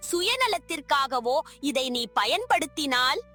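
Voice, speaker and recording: A woman voicing a majestic, divine silver peacock spirit that speaks solemnly and formally in an animated moral tale. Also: sits high, a little slow, soft background music, heavily acted. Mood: grave, warning. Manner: solemn, formal, grand pronouncements. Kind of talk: animated moral story acting.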